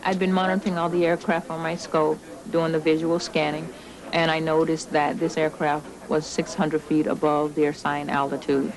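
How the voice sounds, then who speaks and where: A woman's voice: low-pitched, flat delivery, moderate pace, a crowd in the background, low-quality recording. A woman, an air traffic controller, recalling events in an interview. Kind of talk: interview recollection.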